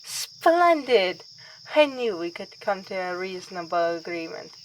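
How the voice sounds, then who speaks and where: A woman's voice: fairly low pitch, sing-song, measured pace, slight background noise, low-quality recording. A woman playing a young vampire princess in an ASMR roleplay, with formal, theatrical, teasingly menacing speech. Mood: playful, coaxing. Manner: theatrical, formal, courtly phrasing.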